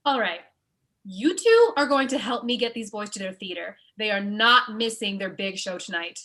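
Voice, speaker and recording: A woman's voice: mid-range voice, lively intonation, moderate pace, sharp tone. A woman acting as a bossy, exasperated young woman in a comedy table read, stern and no-nonsense.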